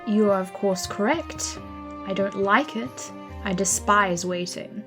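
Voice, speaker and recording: A woman's voice: medium pitch, takes it slowly, natural voice. Haughty immortal woman, played by an actress, faint music. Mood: impatient. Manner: arch, imperious, theatrical. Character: haughty, impatient immortal woman toying with mortals. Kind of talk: audio drama acting.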